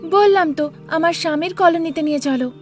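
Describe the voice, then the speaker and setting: A woman's voice: extremely high pitch, a little fast, soft background music, pitched above the performer's own voice. A woman voicing a naive, stubborn young village bride in a cartoon, earnest, emphatic and pleading. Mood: indignant, insistent.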